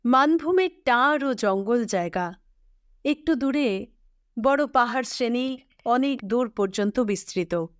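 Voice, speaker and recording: A woman, reading a scripted literary story as host in a measured, expressive way. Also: fairly high pitch, smooth, no room sound, clean studio sound.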